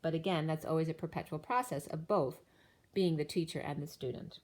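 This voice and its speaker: A woman's voice: low voice, a little fast, darkish tone. A woman doing a spiritual tarot reading, running through cards and numbers in a flowing, incantatory way, her voice wearing thin. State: losing her voice. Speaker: tarot reader.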